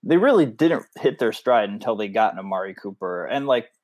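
A man's voice: on the low side, animated, a little fast, darkish tone, no echo. A man co-hosting a casual sports podcast, rambling through his points with lots of fillers. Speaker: podcast co-host.

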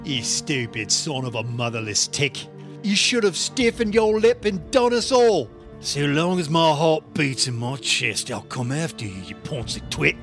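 A man's voice: a little high, lively intonation, unhurried, faint music behind. A man voicing an indignant, insult-spitting vigilante from a comic book with a Northern English accent. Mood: enraged, defiant. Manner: indignant, insulting, shouted outbursts.

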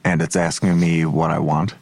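A man's voice: extremely low pitch, level tone, moderate pace, vocal fry, darkish tone, full character voice. An actor playing a skeptical police detective in an audio drama, terse and probing in his questions. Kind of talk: naturalistic audio drama dialogue.

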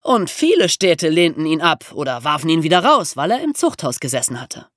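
Animated podcast storyteller with a dry, close sound. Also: mid-range voice, brisk, no echo. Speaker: podcast presenter. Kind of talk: explanatory storytelling in a history podcast.

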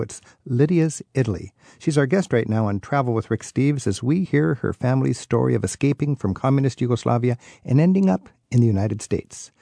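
A man with a gravelly, mellow voice who hosts a radio travel show. The sound is dry and close, with nothing behind him. He asks his guest questions in a friendly, curious way. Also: medium pitch, no room sound. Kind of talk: radio interview.

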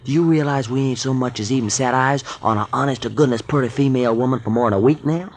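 An actor playing a grumbling, folksy sidekick in a 1940s radio drama, drawling and full of homespun slang. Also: male voice, mid-range voice, fast, slight background noise, close to an everyday voice.

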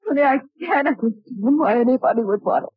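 A distraught young-love melodrama heroine, a grieving secretary in a vintage radio play. Her voice is high and pleading, on a dry, muffled old recording. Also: expressive, fast, husky, no echo. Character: distraught, lovestruck female secretary in grief.

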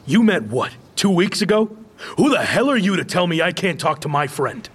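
Animated, high-pitched cocky man. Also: moderate pace, husky. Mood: mocking, defiant. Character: cocky, defiant male friend and rival. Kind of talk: audio drama acting.

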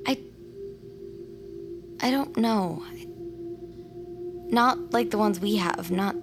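A woman's voice: medium pitch, drawn-out words, vocal fry, music in the background. A young woman playing a guarded, haunted character in an audio drama. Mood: haunted, hesitant. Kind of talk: audio drama acting and narration.

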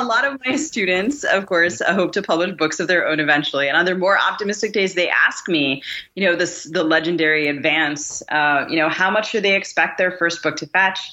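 A woman with a low yet bright, forward voice hosts a conversational interview. She puts questions in an articulate, wry way. The audio is a touch lo-fi. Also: normal speed. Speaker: podcast host.

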